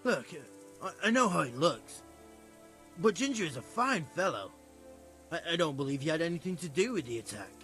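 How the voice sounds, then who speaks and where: A man's voice: a little high, sing-song, brisk, a bit bright, faint music behind. A man playing a hesitant, earnest medieval stablehand in video game dialogue, folksy and loyal. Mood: hesitant, defensive. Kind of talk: conversational video game acting.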